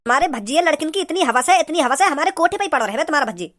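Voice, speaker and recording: Man doing a brazen, fast-talking cartoon gossip. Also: very high voice, expressive, a bit bright. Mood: brazen, tattling. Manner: insistent, tattling, rambling. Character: brazen, gossipy rustic male brothel keeper. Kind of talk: amateur comedy cartoon dubbing.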